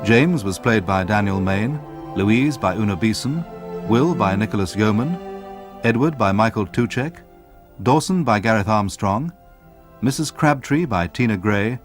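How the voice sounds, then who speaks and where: A British man makes a scripted radio announcement. His voice is on the low side, with music clearly playing behind him. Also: expressive, measured pace.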